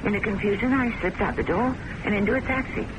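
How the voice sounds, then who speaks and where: A woman's voice: mid-range voice, quick pace, lightly acted. An actress playing a frantic, suspicious woman in an old-time radio drama, speaking with dramatic, insistent intensity. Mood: anxious, recounting. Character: frantic, suspicious woman recounting a spy ordeal. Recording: noisy old radio broadcast recording.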